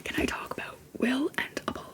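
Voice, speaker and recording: Whispering young woman rambling about books. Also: fast talker. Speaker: video creator. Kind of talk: whispered book-talk ramble.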